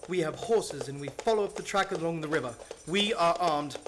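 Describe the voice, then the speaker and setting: High-voiced, earnest hunter played by a man. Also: brisk, background noise, lightly acted. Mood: resolute. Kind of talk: radio play acting.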